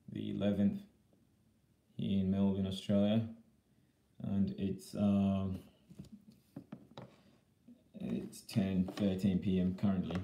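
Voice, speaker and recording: A man live-streaming a casual vlog, slightly hoarse after being sick, talking haltingly with many fillers and repeated words. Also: sits low, mellow, echoey room, slight background noise. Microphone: iPad camera.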